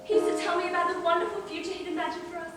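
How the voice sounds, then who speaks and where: A woman's voice: very high voice, little pitch movement, rapid-fire. A woman playing an emotional, torn wife in a melodramatic amateur stage play. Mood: wistful, anguished. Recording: very echoey stage, distant mic.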